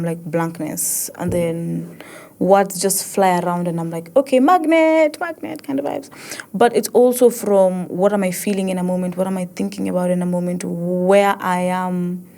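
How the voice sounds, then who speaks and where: A young woman with an East African accent, talking casually and reflectively as a host and poet, expressive and often repeating herself as she searches for words. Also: on the low side, normal speed. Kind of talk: casual reflective monologue with code-switching.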